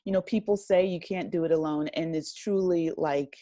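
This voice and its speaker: Low-pitched woman in a dry, close interview. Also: fairly even intonation, mellow, no echo. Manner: reflective, appreciative, halting as she gathers thoughts. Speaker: podcast guest, writer and audio drama creator. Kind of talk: casual interview conversation.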